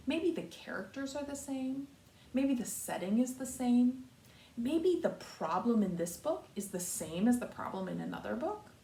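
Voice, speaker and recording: An American woman, a kindergarten teacher, giving a read-aloud lesson to young children in simple, deliberate phrases with repetition. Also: on the high side, darkish tone. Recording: roomy echo, distant mic, faint noise.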